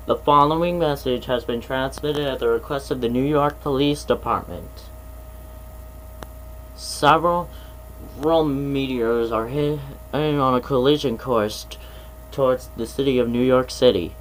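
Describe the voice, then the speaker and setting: A man's voice: mid-range voice, lightly acted, rough recording. Man acting as official alert announcer, mid-range voice, formal, instructional, faint noise behind. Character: official emergency alert announcer warning city residents. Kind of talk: scripted emergency alert announcement.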